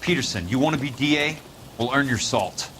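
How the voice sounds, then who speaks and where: A man's voice: mid-range voice, expressive, fairly quick, background noise, natural voice. A man playing a commanding, terse investigator who takes charge, acted for a video game cutscene. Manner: terse, directive.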